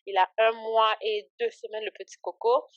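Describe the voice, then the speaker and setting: A woman's voice: on the high side, level tone, moderate pace, no echo, dull audio. A woman in her late twenties, born in Haiti and raised in Canada, chatting as an interview guest, hesitant with many fillers and laughing easily. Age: 20s. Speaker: guest, ministry founder. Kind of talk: casual interview chat. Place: Ottawa.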